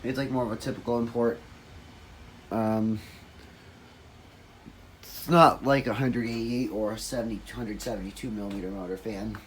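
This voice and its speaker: A man with a raspy voice rambling through a casual, off-the-cuff demonstration, correcting himself and losing his train of thought. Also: fairly low pitch, fairly even intonation, normal speed, pauses often, far from the mic, slight background noise, rough recording. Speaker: ceiling fan collector demonstrating a fan. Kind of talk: casual off-the-cuff video narration.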